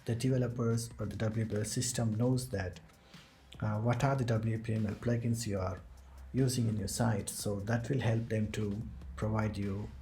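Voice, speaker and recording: A man hosting a screen-recorded software tutorial, explaining each step as he clicks through it. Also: on the low side, fairly even intonation, normal speed. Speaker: tutorial host.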